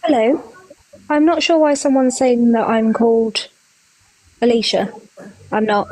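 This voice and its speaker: A woman joining a live stream as a guest, speaking quickly and sounding confused as she protests. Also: a little high, dark tone, no echo, low-quality recording.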